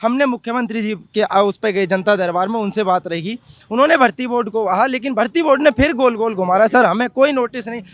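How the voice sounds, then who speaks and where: A man's voice: high voice, quick pace. A man in his twenties, a job candidate, complaining to a reporter in a distressed, pleading manner. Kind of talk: street interview complaint.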